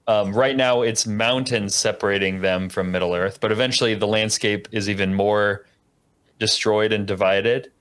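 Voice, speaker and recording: Low-voiced man explaining lore eagerly. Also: a bit bright, clean tone. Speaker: podcast co-host and reader. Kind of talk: casual chat.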